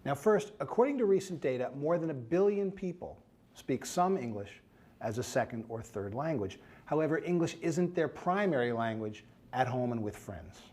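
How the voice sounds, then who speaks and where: A man's voice: mid-range voice, lively intonation, moderate pace, darkish tone. A man giving a scripted academic lecture in an explanatory way, putting rhetorical check questions to his listeners.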